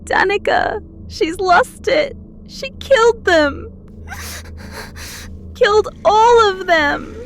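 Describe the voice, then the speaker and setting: A woman's voice: very high-pitched, lively intonation, drawn-out words, long pauses, slight background noise, exaggerated voice. An actress playing a terrified teenage girl in a horror audio drama, crying and gasping through her words. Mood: hysterical terror. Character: terrified, sobbing teenage girl fleeing a killer cousin.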